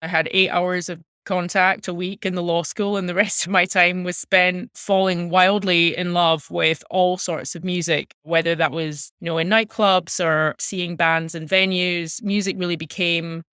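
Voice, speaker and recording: Bright, clear-voiced Scottish woman. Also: on the low side, level tone, unhurried, hardly any pauses, no echo, clean studio sound. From Glasgow, Scotland. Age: 30s. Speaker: interview guest, music journalist. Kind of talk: reflective personal storytelling in an interview.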